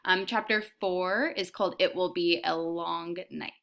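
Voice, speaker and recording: A woman's voice: fairly low pitch, steady tone, a little slow, a bit bright. A woman teacher talking to pupils and narrating a children's book aloud. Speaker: elementary teacher reading aloud.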